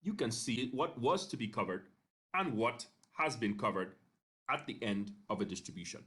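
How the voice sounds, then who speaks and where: A man narrating an instructional screencast in a measured, step-by-step way, pausing briefly between phrases. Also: medium pitch, expressive, bright, forceful tone. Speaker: software tutorial narrator.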